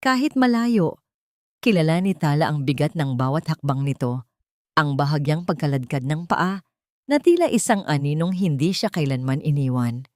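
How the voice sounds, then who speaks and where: A woman's voice: sits low, a little mellow, no room sound, clean studio sound. A woman narrating a scripted story in a dramatic storytelling style.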